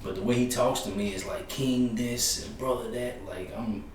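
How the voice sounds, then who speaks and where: A man, hosting a podcast in a relaxed, storytelling manner. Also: mid-range voice, normal speed. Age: 30s. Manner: relaxed, storytelling with quoted voices. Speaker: podcast host. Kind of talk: casual chat. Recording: roomy echo, distant mic, faint hiss.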